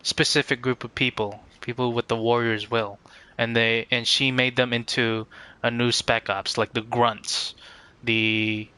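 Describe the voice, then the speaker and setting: A man hosting a live game stream, chatting casually and politely with viewers in a halting way, searching for words. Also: medium pitch, flat delivery, sharp tone, smooth, dry recording.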